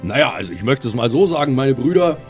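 A man's voice: mid-range voice, very animated, fast, soft background music. A man improvising as a player in a tabletop role-playing audio show, switching between table talk and in-character speech.

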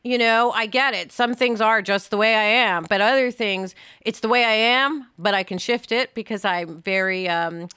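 A woman's voice: medium pitch, moderate pace, few pauses, sharp tone, clear, resonant tone, dry recording. A woman hosting a podcast, talking in a casual conversational style. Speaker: podcast host.

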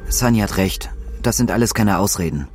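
A man's voice: on the low side, expressive, fairly quick, darkish tone, soft background music, close to an everyday voice. A man playing a defensive, resigned astronaut in an audio drama, matter-of-fact in a crew dispute. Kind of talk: naturalistic audio drama dialogue.

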